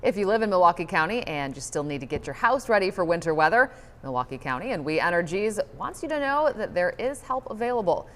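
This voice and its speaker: Female American news anchor, low-pitched voice, broadcast delivery, reverberant studio. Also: expressive, fast, fairly bright tone.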